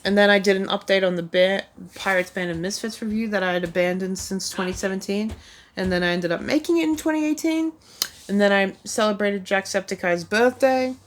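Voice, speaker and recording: A woman vlogging in a loose, rambling way, her sentences full of "like" and "um." She looks back on her own channel with a self-deprecating edge. Her pitch sits in an ordinary middle range. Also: brisk. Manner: rambling, heavy use of "like" and "um". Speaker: YouTuber reviewing her own videos. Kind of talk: casual rambling vlog.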